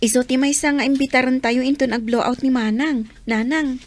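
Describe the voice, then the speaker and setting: A woman plays a cheerful young daughter in an audio drama. Her voice is high and she chats proudly with her mother. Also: fairly even intonation, a little fast, dry recording, natural voice.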